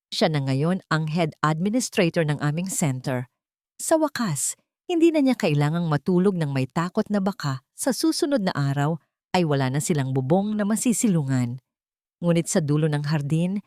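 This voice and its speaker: A woman's voice: lowish voice, a little mellow, no room sound, clean studio sound. A woman reading a scripted dramatic story as narrator, in a solemn, sentimental manner.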